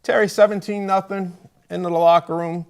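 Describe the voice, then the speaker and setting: A man's voice: high voice, fairly even intonation, a little fast, clear, resonant tone. A man with an American accent hosting a casual sports interview show, with some noise in the recording.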